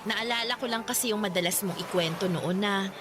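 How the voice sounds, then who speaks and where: A woman's voice: mid-range voice, a little fast, over music, close to an everyday voice. An actress playing a blunt, meddling young female friend in a radio drama, teasing and pushy. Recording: clear background noise.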